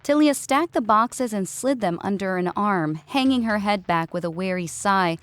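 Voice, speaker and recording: A woman's voice: medium pitch, expressive, moderate pace. A woman reading a scripted story as its narrator.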